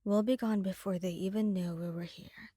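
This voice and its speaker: A woman voicing a cool, self-assured masked mafia boss in an audio roleplay, speaking with calm command. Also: medium pitch, normal speed, no room sound, clean studio sound, natural voice. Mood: cool, confident.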